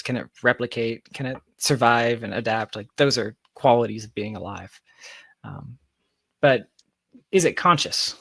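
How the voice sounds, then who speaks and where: A man's voice: medium pitch, no echo. Man thinking aloud, dry close sound. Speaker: interview guest, tech company founder. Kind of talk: conversational interview, speculating at length.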